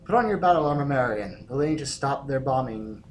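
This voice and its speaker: A man's voice: medium pitch, animated, fairly quick, a little hiss or hum, close to an everyday voice. A man acting as a hard-bitten, resolute revolutionary general in an audio drama, urgent and commanding. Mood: commanding, insistent.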